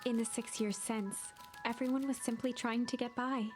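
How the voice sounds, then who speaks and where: Female storybook narrator, actress in role, higher voice, calm, knowing, theatrical, measured game narration, prominent music. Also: lightly acted. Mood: measured storytelling.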